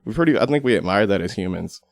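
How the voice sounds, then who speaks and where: A man's voice: lowish voice, lively intonation, quick pace, creaky, no echo, studio quality. A man speaking as a podcast panelist in a casual, reflective discussion.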